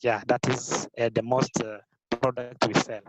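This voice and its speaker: A Rwandan man whose first language is Kinyarwanda, answering interview questions in careful, halting English with many fillers and restarts. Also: mid-range voice, flat delivery, a little hiss or hum, poor audio. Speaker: guest, business founder. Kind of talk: podcast interview.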